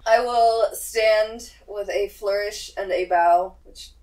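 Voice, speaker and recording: A woman's voice: mid-range voice, slow, a little mellow. A woman joking wryly and chatting casually as a player in a tabletop roleplaying game. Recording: echoey, distant from the mic.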